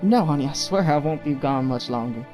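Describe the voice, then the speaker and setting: A man acting a protective, calming young man in an audio drama. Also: on the high side, a little fast, music in the background, natural voice. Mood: evasive, tender.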